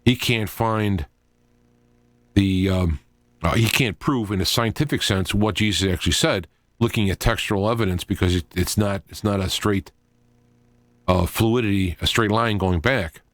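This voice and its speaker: Male talk radio host, middle-aged, lowish register, dry sound. Informal solo monologue, halting and digressive delivery. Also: no room sound. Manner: halting, digressive, thinking aloud.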